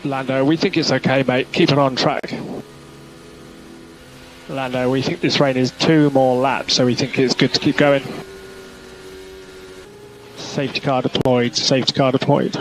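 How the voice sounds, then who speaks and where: Male race engineer, medium pitch, clipped and repetitive radio instructions, heavy noise on the team channel. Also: steady tone, unhurried, pauses often. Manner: clipped, repeats key instructions. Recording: noisy team radio transmission.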